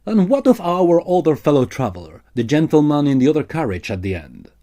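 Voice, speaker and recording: A man's voice: on the high side, sing-song, fairly quick, mellow. A man playing a composed, encouraging member of a Victorian opera company in an audio drama. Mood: courteous. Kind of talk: period farce audio drama.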